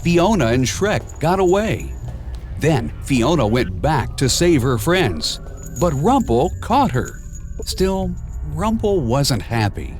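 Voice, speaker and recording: Animated male storybook narrator, slow-paced. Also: on the high side, faint music behind. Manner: measured, expressive storytelling with clear simple phrasing. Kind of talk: scripted children's read-along storybook narration.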